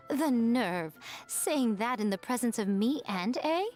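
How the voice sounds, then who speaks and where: A woman playing a sly, playful fox shrine maiden in a video game, with a smooth, haughty, unhurried delivery. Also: a little high, animated, a little mellow. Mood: amused. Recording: game music behind the voice.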